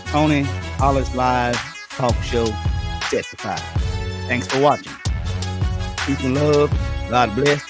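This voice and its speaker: A man hosting a live-streamed community talk show, loose and halting with many ums and uhs, his voice going hoarse as he keeps clearing his throat. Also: mid-range voice, fairly even intonation, music in the background. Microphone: computer or phone on internet stream.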